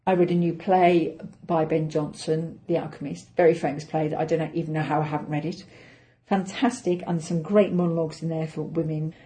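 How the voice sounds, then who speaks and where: A middle-aged woman with an English accent, chatting through her week on her own podcast. Her voice sits low and mellow, and she talks in a chatty, self-deprecating way, thinking aloud. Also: steady tone, brisk. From England. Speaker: actress and podcast host. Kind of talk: casual solo podcast update.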